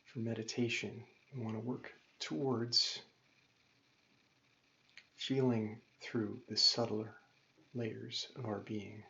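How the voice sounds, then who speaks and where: A man guides a meditation in a very unhurried, calm and gentle way, leaving pauses between instructions. His voice is lowish with a marked creak. He sounds like a yoga teacher giving measured instruction. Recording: dull lo-fi recording with faint noise.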